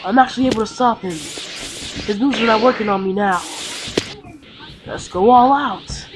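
A woman playing a determined, battle-hungry anime fighter in an amateur game roleplay, with halting, improvised delivery. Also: on the high side, noisy background, natural voice. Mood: defiant, combative.

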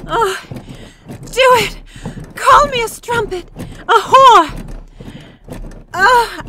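A high-pitched, sharp-tongued young frontier woman played by an actress in a comic dub. She is bold and lusty, drawing words out slowly with big theatrical swoops. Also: slight background noise, full character voice. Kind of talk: comic book fan dub.

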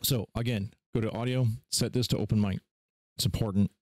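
Low-voiced man giving a casual tutorial. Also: steady tone, brisk, darkish tone, no room sound. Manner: informal, offhand. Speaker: tutorial host. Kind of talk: casual screen-share software tutorial.

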